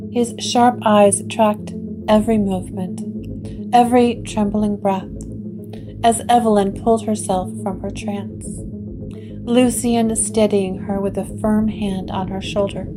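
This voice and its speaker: Slow, measured woman narrating a gothic tale. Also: mid-range voice. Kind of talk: scripted gothic audio drama narration. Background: guitar music.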